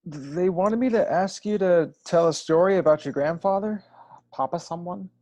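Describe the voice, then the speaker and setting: A man playing a shy, self-doubting man with a halting, earnest way of talking in a play table read. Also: high voice, darkish tone, close to an everyday voice. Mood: awkward, earnest.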